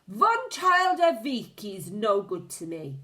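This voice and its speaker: A woman voicing a furious old female witch leader in a storybook reading, shrieking her slow, drawn-out commands. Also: mid-range voice, big pitch swings, pushed and bright, exaggerated voice. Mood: ranting furious. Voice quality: shrieking, screaming.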